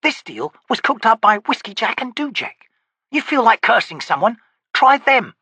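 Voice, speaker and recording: A sharp-tongued woman soldier who snaps back with dry, scolding wit, played by a man in a high, bright voice. Her lines leap up in pitch and carry a hint of huskiness, read dry and close for a storybook. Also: sing-song, brisk, dry recording, dull audio, full character voice. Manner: crisp, scolding, sardonic. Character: sharp-tongued young female corporal, dry and bossy.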